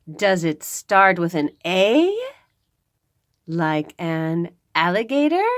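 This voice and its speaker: A woman voicing an excited, playful storybook parent who coaxes a nervous little child in an exclamatory, encouraging singsong. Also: fairly low pitch, slow, dry recording, studio quality. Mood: gentle, coaxing.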